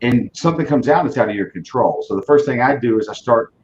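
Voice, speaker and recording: A man's voice: mid-range voice, animated, fast, darkish tone, muffled recording, a little hiss or hum. A man in his forties giving casual interview answers in a blunt, driven way, often repeating words as he restarts. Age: 40s. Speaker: auto dealership leader, guest.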